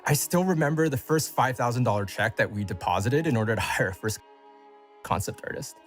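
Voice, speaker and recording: A man's voice: medium pitch, expressive, brisk, faint music behind. A man delivering a scripted announcement as a company co-founder addressing a community.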